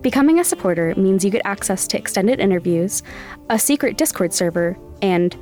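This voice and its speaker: A woman's voice: medium pitch, expressive, a little fast, a little mellow, faint music behind. A woman hosting a podcast, chatting casually and reading her show's promo in an upbeat way. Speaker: podcast host. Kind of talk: casual interview and show promo.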